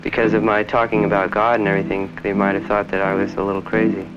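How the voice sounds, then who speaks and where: A low-voiced man talks slowly and haltingly in a flat, even tone. It comes from an old archival tape, with loud music playing over it. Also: rough recording. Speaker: interviewee.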